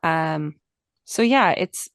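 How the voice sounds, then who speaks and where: Young Irish woman, lowish voice, candid and chatty interview guest, dry recording. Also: expressive, drawn-out words, gravelly, dry recording. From Ireland. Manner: chatty and candid, many restarts and "like" fillers. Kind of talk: casual conversational interview.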